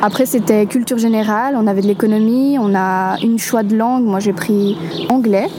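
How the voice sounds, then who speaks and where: A woman's voice: medium pitch, fairly even intonation, moderate pace. Young woman talking over street traffic. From Switzerland. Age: teens. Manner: chatty, explains at length with fillers. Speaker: interviewee, pharmacy apprentice. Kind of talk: street interview answers.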